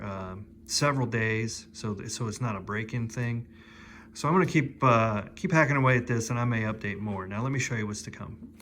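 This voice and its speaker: A man's voice: medium pitch, a bit bright, a little hiss or hum. A man giving a casual, off-the-cuff video walkthrough, hesitant and correcting himself as he thinks aloud. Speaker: host of a DIY audio repair channel.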